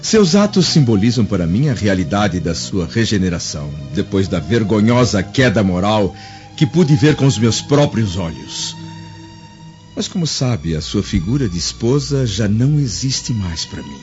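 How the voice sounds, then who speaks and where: Proud, solemn Roman senator, animated delivery. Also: male voice, fairly low pitch, normal speed. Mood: cold, solemn. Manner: solemn, pompous oratory. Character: proud, righteous Roman senator and wounded husband. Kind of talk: radio drama acting. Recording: dull lo-fi radio drama audio. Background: background music.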